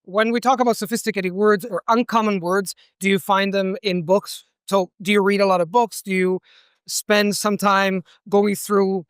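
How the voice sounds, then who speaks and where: High-voiced interviewing man, clear and close. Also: fairly even intonation, moderate pace, fairly bright tone, no echo. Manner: earnest, restarts and repeats words. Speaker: interviewer, language channel host. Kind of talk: conversational interview. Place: hall at a language event with background noise.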